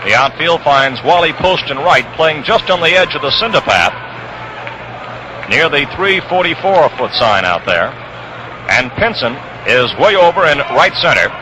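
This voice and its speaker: An American man calling baseball play-by-play on 1960s radio, measured, with suspenseful pauses, on a noisy old broadcast recording. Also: mid-range voice, muffled recording. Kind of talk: 1960s radio sports commentary. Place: ballpark broadcast position. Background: crowd hum, noise.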